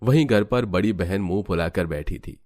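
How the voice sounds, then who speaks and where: Low-voiced male cartoon story narrator. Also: normal speed, a little mellow.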